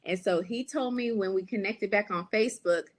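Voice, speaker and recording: An American woman, a business coach, giving a lively, preachy training talk and speaking straight to her audience. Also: medium pitch, moderate pace. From United States.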